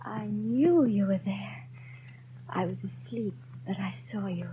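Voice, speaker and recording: A woman's voice: mid-range voice, measured pace, close to an everyday voice. A woman playing a feverish, pleading young mother in a 1930s radio drama. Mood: weak pleading. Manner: pleading, breathy whispers rising to frantic cries. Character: sick, feverish young mother, desperate for her child. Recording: muffled, hissy 1930s radio broadcast.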